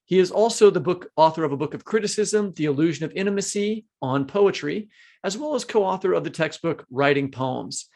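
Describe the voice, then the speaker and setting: A man hosts a podcast in a fairly high voice with a pronounced gravelly creak. His delivery is conversational and joking, and it wanders and halts when he leaves the script. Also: animated, brisk, darkish tone. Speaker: podcast co-host.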